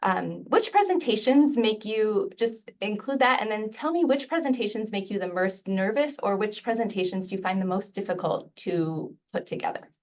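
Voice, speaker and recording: A woman's voice: medium pitch, animated, normal speed, few pauses, darkish tone. A middle-aged woman from Mexico whose first language was Spanish, a physician giving a conversational, earnest webinar talk with frequent fillers. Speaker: infectious disease physician and mentor. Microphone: video call microphone. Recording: dull, distant video call audio.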